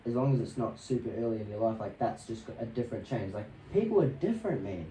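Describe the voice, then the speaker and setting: Young male podcast guest, casual slangy storyteller, mellow tone, echoey room with faint noise. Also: lowish voice, animated, fast. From New Zealand and Australia. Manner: laid-back, slangy, swearing. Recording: roomy echo, distant mic, faint noise.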